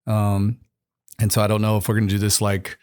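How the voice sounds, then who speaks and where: Man, middle-aged, rural American accent, fairly low voice, rambling, chatty host. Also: completely flat delivery, a little fast, smooth. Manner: rambling, warm praise, many restarts. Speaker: podcast host, military chief. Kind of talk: casual interview chat.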